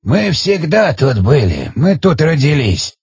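A man voicing an ancient, frightened underground elder in an audiobook, speaking in slow, hushed, halting phrases. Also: fairly high pitch, sing-song, breathy edge, dry recording, full character voice. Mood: weary, recounting.

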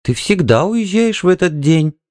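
A man's voice: high-pitched, lively intonation, moderate pace, a little mellow, dry recording, voice pushed up. A man voicing a timid, evasive wife, hesitant and placating, in a dramatised audiobook. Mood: hurried, evasive.

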